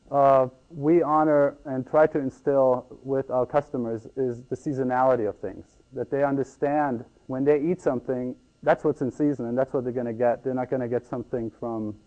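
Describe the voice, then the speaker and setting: A man from Ecuador giving a conference talk as a farmer, halting with many ums and restarts. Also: mid-range voice, darkish tone, lo-fi sound.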